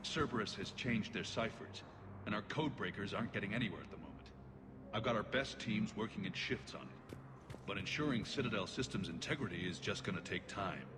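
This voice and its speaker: A man's voice: lowish voice, animated, a little fast, noisy background, natural voice. An actor playing a diligent male security officer in a video game, recorded with long reverb. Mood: concerned. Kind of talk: video game cutscene dialogue.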